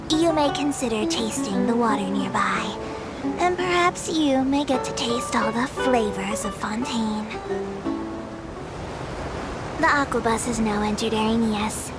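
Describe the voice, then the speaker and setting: A woman voicing a high, polite little creature tour guide over music. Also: moderate pace, lightly acted. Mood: formal, polite. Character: small polite female Melusine creature, dutiful tour guide. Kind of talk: scripted video game character announcements.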